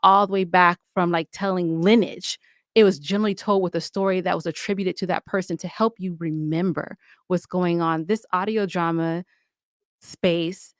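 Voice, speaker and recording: An enthusiastic woman in a conversational interview, explaining her points with emphasis. Her voice sits a little low, and the sound is dry and close, with hardly any room in it. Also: fairly even intonation, moderate pace, dry recording. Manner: enthusiastic, emphatic, explanatory, often checks with "right?". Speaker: podcast guest, audio drama producer.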